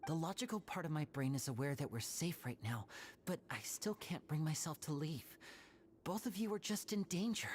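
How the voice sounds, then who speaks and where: A courteous teenage boy in a video game. The voice is low-pitched and composed, with a protective, measured manner. Faint ambience sits behind it. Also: animated, a little mellow, slight background noise. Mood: worried, reluctant. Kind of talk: video game character dialogue.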